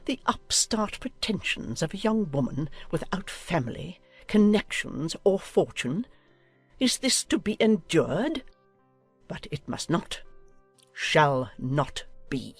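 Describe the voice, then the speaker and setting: A woman's voice: deep voice, very animated, takes it slowly, breathy edge, dry recording, heavily acted. Imperious older noblewoman acted by a woman, indignant and declamatory; dry period audiobook sound with music underneath. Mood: haughty, indignant. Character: haughty, domineering older aristocratic lady, indignant and imperious. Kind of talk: dramatized audiobook acting.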